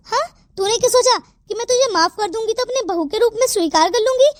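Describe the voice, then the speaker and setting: An extremely high voice from a woman playing a stern mother in a cartoon family melodrama. She sounds indignant and theatrical, scolding in a dry, echo-free recording. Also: expressive, a little fast. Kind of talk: Hindi moral-story cartoon dialogue.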